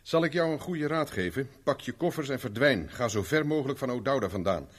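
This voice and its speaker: Tough, husky-voiced investigator. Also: male voice, mid-range voice, expressive, fairly quick. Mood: blunt, urgent. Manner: curt, sardonic, informal. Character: tough, blunt, wry private investigator, adult man. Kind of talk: 1970s Dutch radio play acting.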